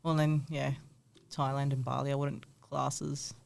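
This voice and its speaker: A man's voice: medium pitch, little pitch movement, unhurried, vocal fry. A man, a tattoo artist guest, chatting casually and swearing freely, laughing often. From Australia. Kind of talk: casual podcast chat.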